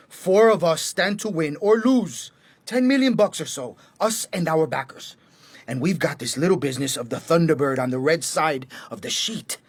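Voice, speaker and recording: An actor playing a ruthless, mocking American assassin who chairs a criminal cartel in a radio drama, with a husky, commanding delivery. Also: male voice, on the high side, no echo, exaggerated voice. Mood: firm, brash.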